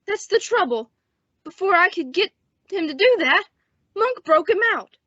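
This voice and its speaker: A wounded western lawman, played by a young woman, speaks in a high, strained voice. He is resigned and folksy, in a radio play with a dry, dulled sound. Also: lively intonation, normal speed, pushed and bright, dry recording, exaggerated voice. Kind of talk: old-time western radio drama acting. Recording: dull, dry lo-fi radio recording.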